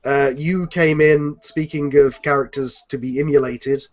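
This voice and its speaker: A man hosting a casual group chat over an online video call, speaking in a halting, hesitant way. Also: on the high side, steady tone. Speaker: host of a fan audio drama cast chat. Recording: slightly muffled internet call audio.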